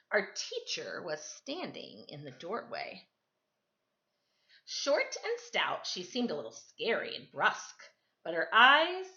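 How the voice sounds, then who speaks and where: Female storytime reader, bright and forceful, casual storybook delivery, recorded at home in a roomy, echoing space. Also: mid-range voice. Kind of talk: casual storybook read-aloud for children. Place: indoors at home, kitchen and another room. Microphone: camera microphone. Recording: echoey room, slightly dull camera audio.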